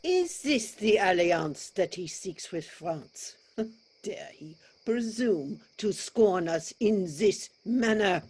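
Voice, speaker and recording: A woman playing a stately, commanding French king in a staged reading of verse drama, with measured, formal delivery. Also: medium pitch, expressive, darkish tone, slightly rough. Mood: affronted. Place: remote video call.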